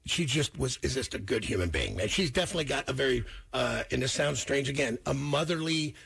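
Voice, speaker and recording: Husky, animated man hosting morning radio. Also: medium pitch, a little fast. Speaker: morning radio show host. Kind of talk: casual radio banter.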